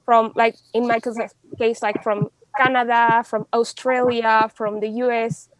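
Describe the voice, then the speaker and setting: A woman's voice: a little high, level tone, unhurried, no echo, poor audio. A young woman from Mexico, a law student speaking as a podcast guest in casual, chatty talk full of fillers and restarts. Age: young adult.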